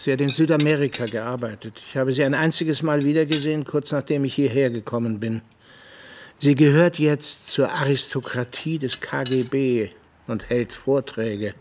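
An actor as a guarded, reserved former agent. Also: male voice, medium pitch, moderate pace, darkish tone, slight background noise, natural voice. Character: guarded, terse middle-aged former assassin and ex-prisoner, male. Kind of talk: radio drama acting.

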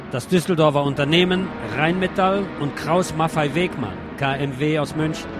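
A man reading scripted, documentary-style narration with factual, report-like phrasing. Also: a little high. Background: loud music bed.

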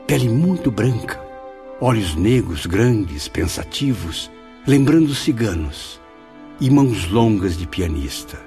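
A male actor as a reflective spirit remembering admired companions. The voice is fairly low, formal and literary, in radio-drama narration with light music behind.

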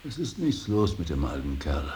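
An actor playing a stern, brooding old painter and father in a radio drama, solemn and intense, moving between hushed breathy words and forceful declaiming. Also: rumbling low, very animated, normal speed. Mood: bitter self-doubt. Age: older. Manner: solemn, intense, swings from hushed whisper to outburst. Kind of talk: 1980s German radio drama acting. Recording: hissy radio drama recording.

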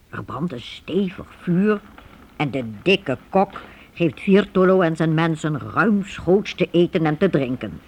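A man with a fairly high, husky voice tells a story for a radio play. His delivery is slow and expressive, explaining things to young listeners with lively swings. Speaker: storyteller narrator. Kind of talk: scripted radio drama narration for young listeners.

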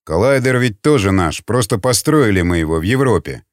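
A man voicing a vexed, grumbling councilman in an audiobook, muttering in a low-key way. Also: animated, brisk, no echo, clean studio sound, natural voice. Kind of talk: audiobook character acting.

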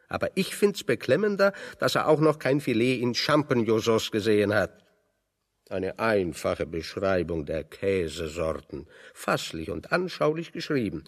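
Radio play: wry exiled intellectual, lowish voice, discursive and pointedly ironic. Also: male voice. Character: wry, pessimistic intellectual man in exile, fond of lecturing. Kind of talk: German radio drama dialogue.

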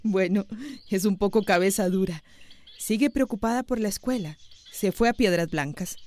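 Actress as a mellow, worried village woman. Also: mid-range voice, moderate pace, natural voice. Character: worried, humble, grateful village wife and mother. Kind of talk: radio soap opera acting.

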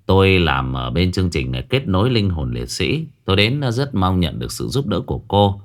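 Narrator as courteous male visitor, low voice. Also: big pitch swings, normal speed. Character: polite soldier and his courteous uncle, male visitors.

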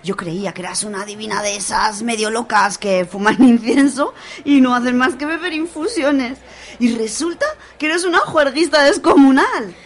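A brash young woman who loves a party, played by an actress in a radio soap. Her voice is on the high side. She chats in excited slang, amused and exclamatory. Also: moderate pace, close to an everyday voice. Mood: amused, giddy.

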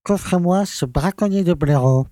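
Village mayor, male actor, audio drama: imperious, order-barking, yet soft-toned and higher than average. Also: normal speed, no echo, close to an everyday voice. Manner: peremptory, repeats his orders. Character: bossy, self-important village mayor. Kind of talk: French audio drama acting.

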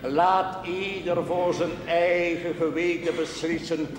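Solemn slow-speaking pastor in echoing church. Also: male voice, fairly high pitch, fairly even intonation. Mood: grave, foreboding. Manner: solemn, measured, rhetorical. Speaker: actor in a TV drama series. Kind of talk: formal sermon in television drama. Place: large reverberant indoor space. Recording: echoey church-like reverb with background noise.

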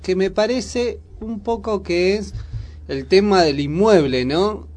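Argentine radio guy, buenos aires accent, kinda high voice, casual chat, asks questions. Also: slow pace, a little mellow. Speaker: radio show host. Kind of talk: casual radio chat about current affairs.

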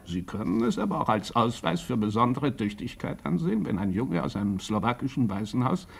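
Blunt sardonic doctor in a german radio play, bit husky, deliberate, kinda probing. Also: male voice, mid-range voice, big pitch swings, heavily acted. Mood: frank, insistent. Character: blunt, shrewd, bespectacled male country doctor. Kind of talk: German radio drama acting.

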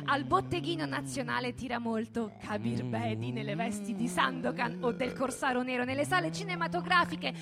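A woman's voice: high-pitched, moderate pace, vocal fry, hardly any pauses. A woman reading scripted narration theatrically, in a declamatory, wry manner. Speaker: narrator and reader on a radio reading. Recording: music under the voice. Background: music.

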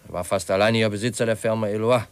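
An actor playing a pensive, reserved young heir with a measured way of talking, in 1950s German radio drama. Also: male voice, fairly low pitch, steady tone, smooth, no echo, lightly acted. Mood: measured, factual. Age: young adult. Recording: slightly noisy 1950s radio recording.

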